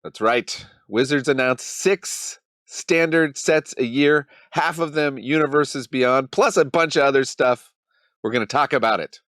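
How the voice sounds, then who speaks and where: A man's voice: medium pitch, a little slow, a bit bright, no echo, clean studio sound. A man in his thirties hosting a casual commentary channel, talking with a cold and thinking aloud in a wry, loose way. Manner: wry, mock-dramatic, thinks aloud with many ums. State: has a cold. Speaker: channel host.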